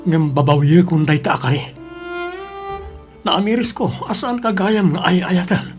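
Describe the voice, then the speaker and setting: A man's voice: high voice, lively intonation, a little slow, darkish tone, faint music behind. A man with an Ilocano accent playing a stubborn son and cold husband in an audio drama. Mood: firm, cold. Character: stubborn adult son, cold husband leaving his pregnant wife.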